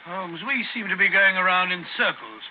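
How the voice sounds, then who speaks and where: An actor playing a fussy, doubting detective's companion in 1930s radio drama, blustering and halting. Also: male voice, high voice, very animated. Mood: puzzled. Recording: tinny, hissy 1930s radio recording.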